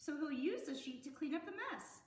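A middle-aged American woman reading a picture book aloud to children in an expressive, sing-song way. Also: high-pitched, fairly quick. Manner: sing-song, expressive, addressing young listeners. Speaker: children's storytime host. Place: indoor room at an arts center. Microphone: camera a few metres away. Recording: roomy echo, distant camera mic.

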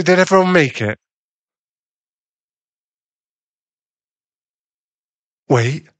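A man's voice: medium pitch, a bit bright, no room sound. A man playing a jealous, doting werewolf husband in an intimate ASMR boyfriend roleplay, teasing and a little bratty. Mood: jealous, hesitant. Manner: slow, intimate, teasing, with sighs and stammers.

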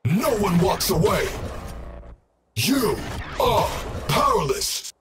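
A man's voice: high-pitched, very animated, a bit bright, raised voice, faint music behind, exaggerated voice. An actor playing a cold, menacing, inhuman male combat character in a video game. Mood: menacing, forceful. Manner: slow, menacing.

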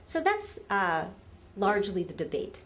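A woman's voice: medium pitch, measured pace, slight background noise. Woman lecturing in a large hall. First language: Russian. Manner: lively, frequent fillers, asks the audience rhetorical questions. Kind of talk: academic lecture to an audience.

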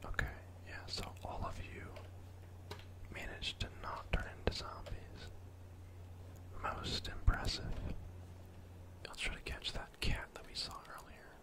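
A young man doing whispered ASMR game commentary in a breathy, unvoiced voice, murmuring to himself as he plays indoors. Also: a little slow, pauses often. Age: young adult. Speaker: gaming streamer. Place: indoor room at home.

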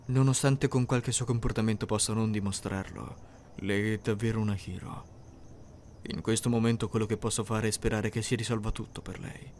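A man playing a reserved, caring teenage boy in an anime fan ASMR roleplay, speaking softly, measuredly and sincerely. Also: fairly low pitch, close to an everyday voice. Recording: clearly noisy, ambient background sound.

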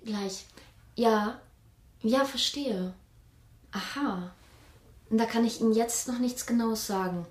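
A guarded woman answering the phone in a radio play. Her voice is fairly high, polite and clipped, sounding in a reverberant room. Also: slow, pauses often, a little mellow. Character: evasive, polite woman of the household answering the telephone. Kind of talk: audio drama acting.

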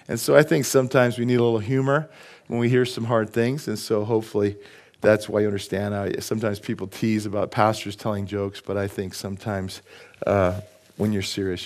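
Middle-aged pastor with a cold. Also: male voice, lowish voice, a little mellow. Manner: conversational, asks the congregation questions. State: has a cold. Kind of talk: sermon with jokes. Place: church stage with a lectern.

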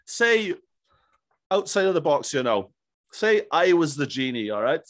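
Man, thirties, somewhat high-pitched, chummy podcast host, casual and jokey, dry close studio sound. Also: very animated, a little slow, a bit bright, dry recording. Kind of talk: casual interview chat. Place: small indoor home studio. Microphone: studio mic on boom arm, headphones.

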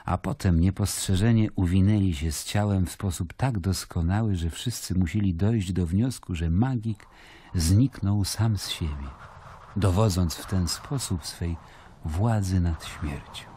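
Deep, measured Roman governor narrating. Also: male voice, mellow, a little hiss or hum. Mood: weary, ironic. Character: Pontius Pilate, weary Roman governor of Judea narrating a report. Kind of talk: radio drama narration.

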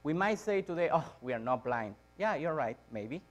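Guy preaching in church, slightly high voice, repeats stuff for emphasis, goes loud then soft. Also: lively intonation, moderate pace. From Chile. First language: Spanish. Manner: emphatic repetition with dramatic rises and drops in volume. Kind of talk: church sermon. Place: church sanctuary, speaking from a lectern on stage.

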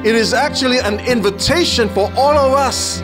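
A man's voice: extremely high pitch, moderate pace. A man giving a wedding sermon as a pastor. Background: music.

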